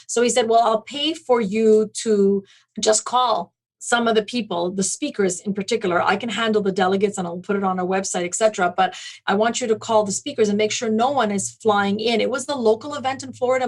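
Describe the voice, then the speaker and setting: A woman speaking as an interview guest, recounting things in an articulate, detailed, conversational way. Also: medium pitch. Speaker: interview guest, event planning business owner.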